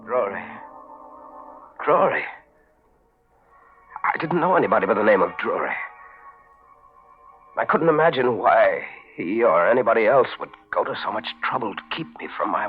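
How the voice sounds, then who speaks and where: An actor playing a distraught, suspicious American husband in an intense, dramatic 1940s radio mystery style. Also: male voice, lowish voice, natural voice. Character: distraught, suspicious husband searching for his vanished wife. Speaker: actor narrating as the lead. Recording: muffled old 1940s radio broadcast.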